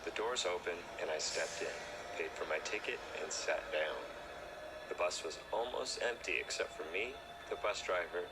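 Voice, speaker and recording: A man's voice: low-pitched, normal speed. A man reading a scripted first-person horror story as narrator. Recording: noisy recording.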